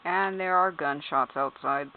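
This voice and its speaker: A woman's voice: low voice, a little slow. Chatty woman with a tinny sound. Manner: amused, chatty, spells out names letter by letter, laughs and swears. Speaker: YouTuber. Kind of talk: casual commentary reading game character bios. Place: at home.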